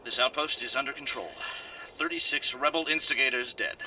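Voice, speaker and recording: An actor playing a dutiful imperial commando soldier in an audio drama. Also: male voice, medium pitch, normal speed, pushed and bright, distant mic, slight background noise, low-quality recording. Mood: brisk, dutiful. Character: dutiful young imperial commando reporting to superior.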